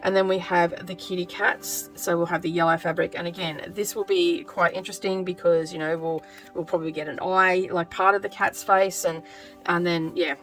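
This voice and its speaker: A woman with a croaky voice chatting casually as she sews, thinking aloud with lots of ums. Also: on the low side, fairly even intonation, moderate pace, fairly bright tone, faint music behind, low-quality recording. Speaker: craft vlogger. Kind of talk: casual craft vlog chat.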